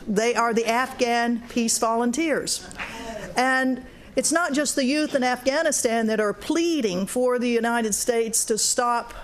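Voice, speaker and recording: A woman moderating a panel, introducing speakers in a measured way and sometimes repeating words. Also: fairly high pitch.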